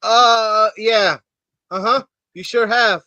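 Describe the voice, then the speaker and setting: A woman's voice: on the high side, steady tone, slow pace, bright, forceful tone, dry recording, highs cut off. A woman playing a laconic, blunt rural man in a comic play reading, folksy and gossipy. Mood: dry, blunt.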